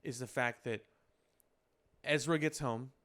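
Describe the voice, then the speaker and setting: A man with a mid-pitched voice talks as a podcast host in casual discussion. He works through his points analytically, stopping and restarting, and swears offhandedly. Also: a little slow, a bit bright. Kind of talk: casual pop culture discussion.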